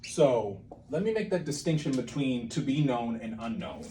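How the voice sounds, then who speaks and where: A man's voice: medium pitch, big pitch swings, normal speed, warm tone, slight background noise. A man giving an informal whiteboard lesson, thinking aloud in a rambling way and often interrupting himself. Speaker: creative methods teacher and playwright.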